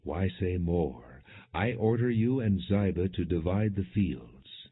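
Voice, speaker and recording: Guy narrating as authoritative king in a bible audiobook, formal, low voice, muffled lo-fi audio. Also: animated, slow, slightly rough, full character voice. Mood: firm. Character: authoritative king of Israel, stern yet merciful.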